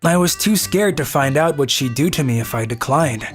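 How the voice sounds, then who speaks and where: A woman's voice: rumbling low, expressive, moderate pace, soft background music. A woman voicing a timid, introverted teenage schoolboy in a manga dub, hesitant in manner. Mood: glum, resigned. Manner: hesitant, stammering when addressed. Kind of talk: manga dub narration and acting.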